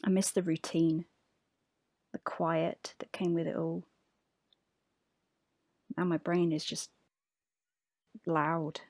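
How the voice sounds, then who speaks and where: A woman acting a restless, guilt-ridden diarist in a confessional monologue, subdued and halting. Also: deep voice, little pitch movement, darkish tone, no room sound, studio quality, heavily acted. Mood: frustrated, weary. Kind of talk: acted confessional diary monologue.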